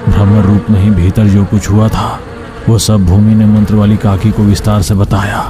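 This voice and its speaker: A man narrating an animated folk tale as scripted, dramatic storytelling. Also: on the low side, normal speed, noisy background.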